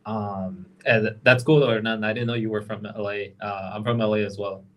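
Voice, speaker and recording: An easygoing man with a fairly low voice, chatting as a guest on a casual podcast. He is from Los Angeles and talks through his ideas reflectively, often tagging on "right?" and "you know". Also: steady tone, fairly quick, a bit bright. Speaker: guest game creator.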